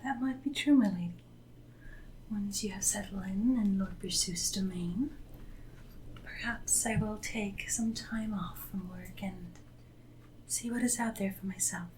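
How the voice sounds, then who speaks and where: A woman playing a devoted, deferential Regency lady's maid in a soft-spoken, often whispered ASMR roleplay, with formal, gentle speech. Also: mid-range voice, a little slow, long pauses, slight background noise, close to an everyday voice, poor audio. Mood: devoted, gently reassuring.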